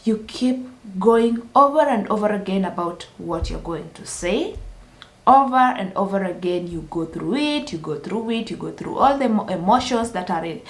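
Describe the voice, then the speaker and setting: A woman's voice: medium pitch, animated, normal speed. Earnest woman from kenya telling her story to camera, lots of restarts, echoey room. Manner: earnest, many restarts and fillers. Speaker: vlogger. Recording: roomy echo.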